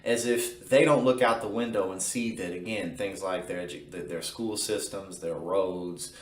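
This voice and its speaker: A man hosting online political commentary, talking in an animated, indignant way. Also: deep voice, moderate pace, roomy sound, far from the mic.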